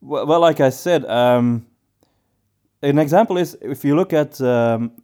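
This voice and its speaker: A man co-hosting a podcast in casual discussion, with halting phrasing that often doubles back on words. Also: mid-range voice, mellow, smooth. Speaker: podcast co-host.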